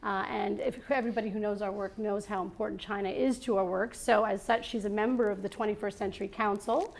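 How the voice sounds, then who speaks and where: A woman hosting a live salon before an audience, introducing her guest and opening the interview in a chatty, halting way with many fillers and restarts. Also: medium pitch, steady tone. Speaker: salon host and moderator. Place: event room with an audience.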